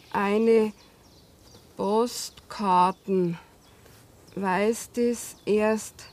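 An actress playing a naive young country woman with a Bavarian accent in a radio drama, speaking haltingly and simply. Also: mid-range voice, a little mellow, a little hiss or hum. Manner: halting, spelling out words while writing. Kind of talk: 1970s German radio drama acting.

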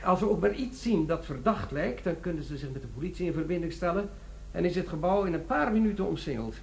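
A humming, noisy old radio play recording carries a proper, precise company manager from a vault. He speaks Netherlands Dutch in polite officialese, with animated rises and falls in his voice. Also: fairly high pitch, fast talker, creaky. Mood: brisk, explanatory. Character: formal, precise male vault manager at a precious-metals firm.

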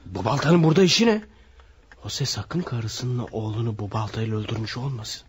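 A suspicious, meddling villager, voiced by a male actor in an old radio drama, eager to please the sergeant. His voice sits slightly low. Clear hiss colors the vintage recording. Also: expressive, moderate pace, close to an everyday voice. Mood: excited. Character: nosy, suspicious villager, adult man.

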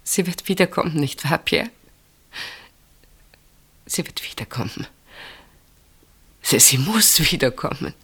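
An actress in a German radio play, playing an anxious woman who fears for her sister and speaks in urgent, breathy pleas. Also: deep voice, expressive, a little slow, long pauses, fairly bright tone, heavily acted. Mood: desperate pleading.

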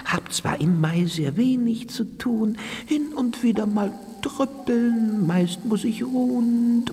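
An actress playing a mild, fussy rain spirit in a children's radio play, dainty and plaintive, dotting the verse with dripping sounds. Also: female voice, a little high, takes it slowly, mellow, breathy edge, in a large hall. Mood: dainty, pleased.

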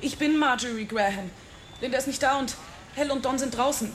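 An actress voicing a jittery young woman who works as a movie extra, speaking high and bright and tripping over her words as she rushes them out in a radio play. she stammers and hurries. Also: crowd noise, close to an everyday voice. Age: young adult. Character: young woman, aspiring film extra, nervous and chatty. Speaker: witness. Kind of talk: German radio drama acting.

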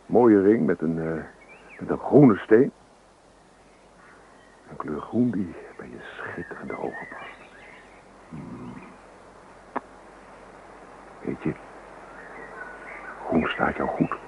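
Casting: carefree young boyfriend in radio drama, teasing, lively swings in pitch, muffled old recording. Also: male voice, slow pace, vocal fry, long pauses, background noise, full character voice. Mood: coaxing, tender. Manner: teasing, nonchalant, coaxing. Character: teasing, carefree young man courting his girlfriend. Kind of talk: Dutch radio drama dialogue.

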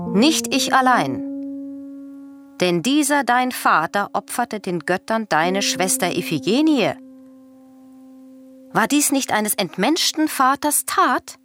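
An actress playing a proud, defiant middle-aged murderous queen in a classical radio tragedy, speaking in measured, formal declamation. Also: medium pitch, a bit bright.

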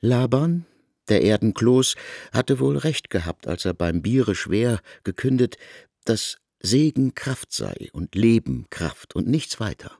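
A man narrating a literary radio drama in a measured, solemn way. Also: medium pitch, expressive, vocal fry, darkish tone, no echo, studio quality.

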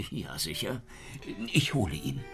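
Anxious, animated police inspector. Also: male voice, deep voice, slow, over loud music, heavily acted. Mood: deferential. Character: anxious, deferential, sleepless Paris police inspector. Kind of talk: German radio play acting.

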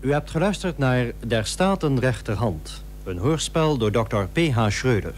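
Male vintage radio announcer, formal credit reading with expressive pitch movement over slight hum. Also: moderate pace. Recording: old radio recording with faint hum.

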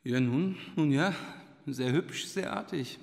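A man's voice: a little high, takes it slowly, vocal fry, a little mellow. Gracious, hesitant king, echoing hall. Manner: courtly, formal, with small hesitations. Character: king in his thirties, genial, gracious, hesitant, wistful. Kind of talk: literary radio play dialogue.

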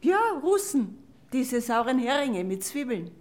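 A woman's voice: a little high, very animated, a little slow. An actress playing a sweet, fussy old lady in a German radio play, chatty and gushing. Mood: delighted, fussy.

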